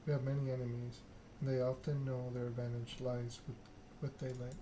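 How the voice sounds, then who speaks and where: A man's voice: medium pitch, flat delivery, brisk, noisy background. A man doing an ASMR read-aloud of a narrative game, occasionally stumbling over the written text. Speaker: gaming channel host.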